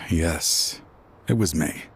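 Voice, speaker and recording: An actor playing a regretful, bitter aging former enforcer in a video game, brooding and weary. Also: male voice, extremely low pitch, slight background noise, exaggerated voice. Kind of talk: dramatic video game dialogue acting.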